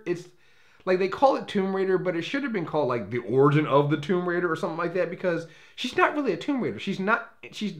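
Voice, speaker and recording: Opinionated man giving a casual film review. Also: medium pitch. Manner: opinionated, emphatic; profane. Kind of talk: casual unscripted review commentary.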